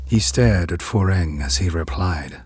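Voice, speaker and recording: A man narrating a scripted drama in a grave, deliberate storytelling manner. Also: sits low, darkish tone, soft background music.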